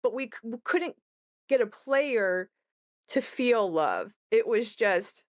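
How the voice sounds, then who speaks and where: A woman's voice: on the high side, expressive, slow, dry recording. A woman chats about game design as one of its creators. She thinks aloud and rephrases as she explains, in a conversational way. The sound is dry, with very little room around her. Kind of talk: casual discussion of game design.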